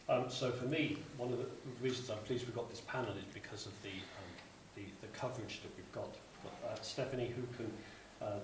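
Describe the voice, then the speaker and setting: Man moderating a panel in an echoey hall. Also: on the low side. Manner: hesitant, many ums and restarts. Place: conference hall at a tech fair. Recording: echoey hall from far off, noisy.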